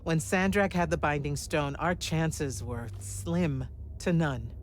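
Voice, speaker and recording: An actress playing a stern, exasperated army general in a game cutscene, authoritative and sardonic. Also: female voice, sits low, takes it slowly, slight background noise. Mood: grave. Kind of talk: dramatic video game cutscene acting.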